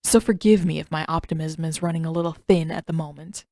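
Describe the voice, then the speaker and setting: A woman voicing a gloomy, awkward teenage boy prince in a narrated story, with a dry, halting, grudging manner. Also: low-pitched, fairly even intonation, warm tone, no room sound. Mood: sullen.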